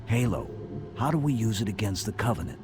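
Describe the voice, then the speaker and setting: A man's voice: on the low side, expressive, brisk, over music, lightly acted. A man voicing a stoic, terse and focused male super soldier in a video game cutscene.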